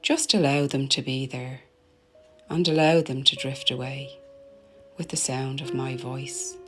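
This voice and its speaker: A woman narrating a guided meditation in a soothing, gentle, deliberate manner, letting her phrases end softly. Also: a bass voice, fairly even intonation. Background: meditation music.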